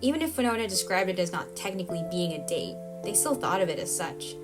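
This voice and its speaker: A woman's voice: on the low side, sing-song, fast, loud music behind the voice. A woman reading scripted narration for an audio drama.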